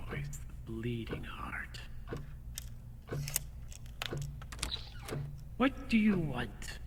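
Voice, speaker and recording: Woman playing sardonic grumpy husband, low-voiced. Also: sing-song, takes it slowly, in a whisper, exaggerated voice. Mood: reluctant, wary. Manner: dry, sardonic, exasperated. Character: grumpy, sarcastic, suspicious husband. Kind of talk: radio comedy acting. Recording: reverberant hall, faint background noise.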